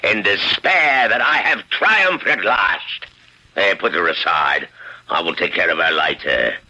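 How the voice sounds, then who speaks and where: A man's voice: sits low, expressive, a little slow, sharp tone, full character voice, poor audio. A man playing an evil, gloating ghost of a long-dead swordsman in old-time radio horror, theatrical and sneering. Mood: commanding, triumphant.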